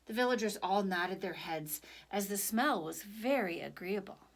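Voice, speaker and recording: A woman storyteller reading a picture book aloud for children in an expressive, animated way. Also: mid-range voice, normal speed.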